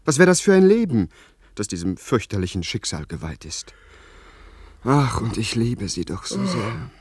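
Overwrought young lord, wildly varied pitch. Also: male voice, big pitch swings, normal speed, husky. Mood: anguished, impassioned. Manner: florid, theatrical, impassioned. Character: overwrought, lovestruck young English aristocrat, earnest and vain. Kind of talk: German radio play acting.

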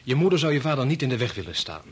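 Quick, husky, deferential colleague. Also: male voice, medium pitch, very animated. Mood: conciliatory. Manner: polite, conciliatory. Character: deferential, understanding male junior research colleague. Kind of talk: Dutch radio play acting.

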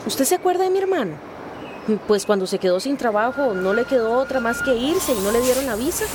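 Casting: actress for frank neighbourhood mother, bright high register, radio drama over noisy street ambience. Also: expressive, a little fast, lightly acted. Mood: earnest. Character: outspoken, brisk neighbour woman, a mother. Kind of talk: radio soap acting.

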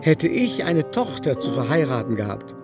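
An aging aristocrat in a period radio drama, haughty and forever scandalized. He declaims his long laments theatrically at a middle pitch, with music clearly playing behind him. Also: sing-song, normal speed. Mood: nostalgic indignation. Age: older. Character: aging male aristocrat, haughty, indignant, gossipy society moralizer. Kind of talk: literary radio drama acting. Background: musical score.

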